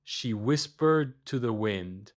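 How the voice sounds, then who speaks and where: Casting: man to narrate kids' bedtime stories, unhurried, mid-range voice, expressive with dramatic pauses. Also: mid-range voice. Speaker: storyteller, narrator. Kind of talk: scripted children's bedtime story narration.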